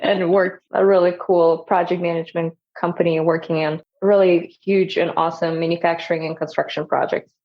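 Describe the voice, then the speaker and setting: A woman speaks as an interview guest in a conversational, storytelling way. Her voice sits a little low. The recording is slightly dull and lo-fi. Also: little pitch movement, brisk, hardly any pauses. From Kazakhstan and Germany. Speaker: company founder and CEO, interview guest.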